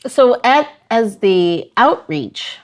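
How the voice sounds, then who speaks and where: A woman's voice: on the high side, lively intonation, extremely slow. A woman hosting a podcast, asking her guest questions in casual chat. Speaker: podcast host.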